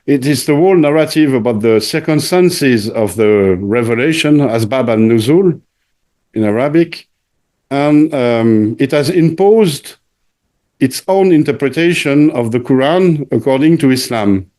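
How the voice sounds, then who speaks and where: Male host; very slow, halting delivery, warm dark tone. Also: medium pitch, animated. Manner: hesitant, many fillers and restarts. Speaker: interview host. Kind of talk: interview conversation.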